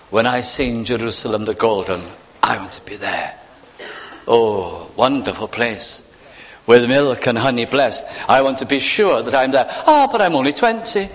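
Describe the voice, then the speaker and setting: A man preaching a sermon, acting out imagined voices and sinking to hushed, near-whispered phrases. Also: on the high side, big-room sound. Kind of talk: evening sermon.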